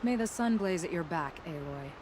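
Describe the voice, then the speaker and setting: An actress playing a stern, swaggering warden in a video game, boastful and commanding. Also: female voice, on the low side, animated, moderate pace, background noise, natural voice. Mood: proud, wry. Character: stern, swaggering female prison warden warrior. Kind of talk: video game drama acting. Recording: clear outdoor game ambience behind voice.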